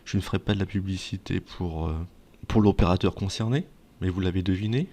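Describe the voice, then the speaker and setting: A man with a fairly deep voice talks directly to his viewers as a presenter. He explains and advises in a conversational way, posing questions and then answering them himself. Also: a little fast, mellow. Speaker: video presenter giving advice. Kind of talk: explainer talk to viewers, semi-scripted.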